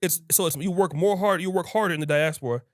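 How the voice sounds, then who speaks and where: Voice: mid-range voice, fast talker, dry recording, studio quality. A host asking a guest casual, probing questions and making jokes along the way. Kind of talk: interview questions, casual chat.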